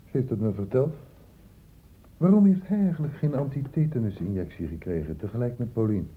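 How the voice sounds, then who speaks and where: An actor playing a thoughtful, quietly insistent investigator in a Dutch radio play, deliberate and questioning. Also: male voice, mid-range voice, expressive, slightly rough. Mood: pensive, probing. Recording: muffled, hissy old radio recording.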